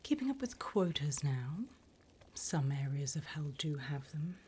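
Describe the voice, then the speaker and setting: Demon interviewer, played by a woman: female, low slow breathy whisper, polite, sly, mock-formal, ASMR roleplay. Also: sing-song, darkish tone, slight background noise. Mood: politely probing.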